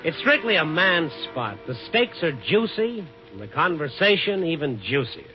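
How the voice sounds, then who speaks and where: A man's voice: fairly high pitch, big pitch swings, moderate pace. An American man acting a wry, hard-boiled night reporter in a 1950s radio drama. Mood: wry narration. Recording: dull old radio recording, music behind.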